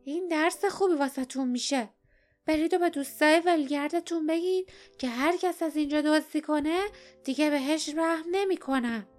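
A woman's voice: high voice, faint music behind, pitched above the performer's own voice, lightly acted. A woman voicing a fierce, suspicious old woman in a storybook, with a scolding, colloquial way of talking. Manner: scolding, threatening, colloquial speech. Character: fierce, suspicious old woman guarding her orchard with bees. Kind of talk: dramatized audiobook character voice.

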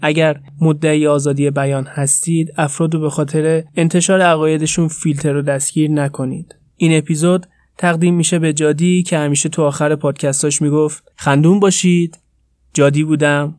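A man's voice: a little high, flat delivery, darkish tone, no room sound. Dry, close-sounding Iranian man hosting a podcast. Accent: Iranian Persian. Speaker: podcast host. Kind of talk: scripted podcast narration.

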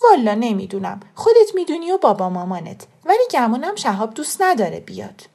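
Lady doing a storybook mom, suspicious and reasoning, voice pitched up a bit, gently disapproving. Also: very animated, vocal fry, full character voice. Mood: hesitant. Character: mother, wary, reasoning, gently disapproving housewife.

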